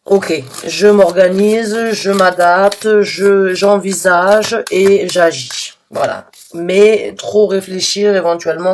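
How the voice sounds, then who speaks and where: Slightly hoarse woman reading tarot. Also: on the low side, noisy background. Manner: hesitant, halting with many restarts and fillers; reassuring, earnest advice. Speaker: tarot reader. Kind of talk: spontaneous tarot reading addressed to viewers.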